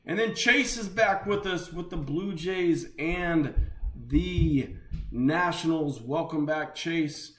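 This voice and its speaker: A young adult man hosting a live sports card break, slightly raspy and chatty as he opens packs. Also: a little high, slow. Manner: upbeat, chatty, talks while opening packs.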